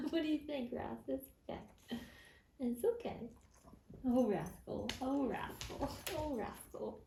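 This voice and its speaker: A woman at home talking softly to her pets in a hushed, gentle, reassuring way. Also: high voice, slow, vocal fry, far from the mic, slight background noise. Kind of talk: soft talk to pets. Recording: echoey room, away from mic.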